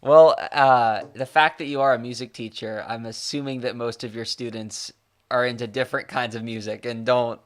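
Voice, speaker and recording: A man hosting an interview over a call, chatty and supportive, thinking out loud as he asks questions. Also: mid-range voice, fairly even intonation, moderate pace. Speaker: podcast host.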